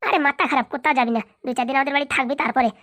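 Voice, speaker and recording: Woman doing a smug elder sister in a cartoon, speaking. The voice sits a bit lower than her usual high one but still rushes along, brushing off every worry. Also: steady tone, a bit bright. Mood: dismissive. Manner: dismissive, brushes off worries.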